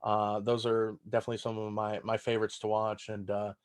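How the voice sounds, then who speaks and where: A man's voice: lowish voice, completely flat delivery, a little fast. Man, thirties, from texas, german first language, loose rambling podcast talk, casual swearing. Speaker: stand-up comedian guest.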